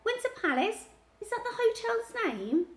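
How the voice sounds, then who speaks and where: A woman's voice: very high voice, sing-song, normal speed, reverberant, pitched above the performer's own voice. A woman voicing a worried, earnest schoolgirl in an audiobook, anxious and eager with her questions. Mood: anxious, puzzled.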